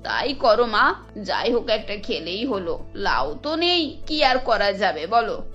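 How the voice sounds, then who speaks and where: A woman's voice: medium pitch, very animated, a little slow, fairly bright tone, pitched below the performer's own voice. A woman voicing a scheming older mother-in-law in a cartoon, wheedling and complaining dramatically. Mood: feigned regret. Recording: dull audio over background music.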